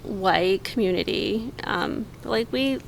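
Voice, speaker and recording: A woman in her forties, a podcast guest, talking in an earnest, reflective way with many pauses. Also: medium pitch, creaky, slight background noise, rough recording. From United States, raised in Mexico. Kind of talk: casual conversation.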